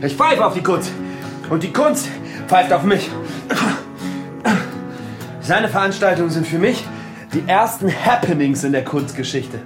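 Grandiose performance artist, pompous, teasing, very lively intonation, music behind, radio play. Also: male voice, high voice, a little slow, long pauses. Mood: grandiose, declamatory. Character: male self-important provocative performance artist, grandiose. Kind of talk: radio drama dialogue. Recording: music under the dialogue.

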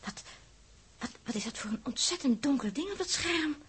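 Very high, pert young spacewoman. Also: lively intonation, normal speed, noisy background. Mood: anxious. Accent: Netherlands Dutch. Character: young female crew member Els, pert, curious, insistent. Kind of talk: 1960s Dutch science-fiction radio drama acting.

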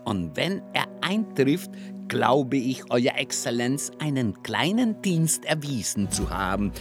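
A man giving a formal scripted reading of old literary text in a radio play. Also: mid-range voice. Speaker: reader of dedication and chapter titles. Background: instrumental music.

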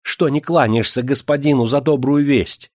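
A man's voice: mid-range voice, normal speed, darkish tone, dry recording, clean studio sound, pitched above the performer's own voice, close to an everyday voice. A man voicing a shabby, haughty nobleman from an old historical novel, with a bullying, indignant manner. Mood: angry bullying. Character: shabby, haughty, shrill hereditary nobleman, fawning then furious.

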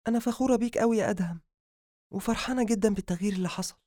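A man voicing a tender, anxious young woman doctor with an Egyptian accent, in audiobook dialogue, soft-hearted and gently scolding. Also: high voice, racing, no room sound, studio quality, voice pushed up, close to an everyday voice. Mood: fond, teasing.

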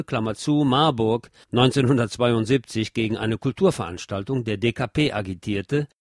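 A man reading a scripted documentary narration in a measured, factual way. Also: mid-range voice, no room sound, studio quality.

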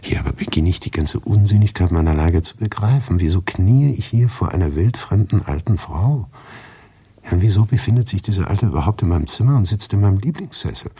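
An actor playing an obsessive, easily agitated writer in a radio play, delivering an intimate first-person monologue that shifts between hushed muttering and agitated outbursts. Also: male voice, low-pitched, lively intonation, a little fast, dry recording, exaggerated voice. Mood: bewildered indignation. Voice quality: gravelly, husky.

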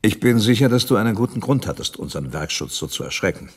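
An actor playing a suave, powerful company boss with a husky voice and a polished, patronizing manner in a radio drama. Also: male voice, on the low side, big pitch swings, quick pace, heavily acted. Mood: smoothly reproachful. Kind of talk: crime radio drama dialogue.

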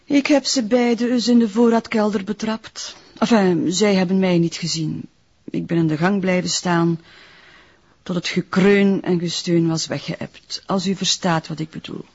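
A stern, discreet Flemish nun in charge of a convent in a detective drama. She speaks formally and carefully in a somewhat breathy, husky voice. The recording is a little muffled. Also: fairly low pitch, fairly even intonation, moderate pace, darkish tone. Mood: measured, confiding. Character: guarded, stern female convent director, a nun. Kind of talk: naturalistic detective drama dialogue.

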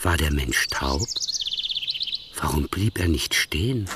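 A man narrating a radio play in a measured, wry storytelling manner. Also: rumbling low, lively intonation, heavy background noise.

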